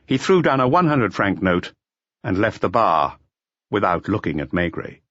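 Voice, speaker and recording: Low, husky male audiobook narrator, expressive. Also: moderate pace, no echo, lo-fi sound. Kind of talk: scripted narration of a detective novel.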